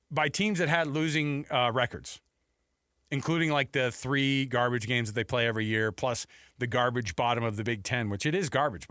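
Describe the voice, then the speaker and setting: A man's voice: medium pitch, a bit bright, no room sound, clean studio sound. An American man hosting a sports talk show, debating casually and musing aloud in a conversational way.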